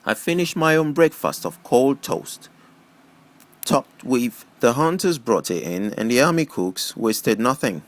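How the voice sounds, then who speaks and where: A man's voice: mid-range voice, animated, unhurried. A man reading a first-person novel aloud as scripted audiobook narration. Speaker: audiobook reader.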